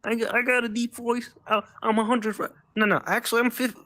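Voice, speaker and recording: A man's voice: extremely high pitch, level tone, brisk, bright, forceful tone. A tired man rambling and joking in online voice chat, swearing freely. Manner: goofy, rambling, swears a lot. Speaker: voice chat player.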